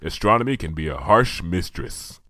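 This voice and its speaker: A man reading fan fiction aloud in a lively, expressive narration, close to the mic. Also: sits low, normal speed, dry recording. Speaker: reader.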